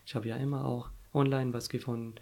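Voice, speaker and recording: Halting young man, Arabic native. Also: medium pitch, level tone, gravelly, warm tone. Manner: halting, searching for words, frequent fillers. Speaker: interviewee. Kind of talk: casual personal story.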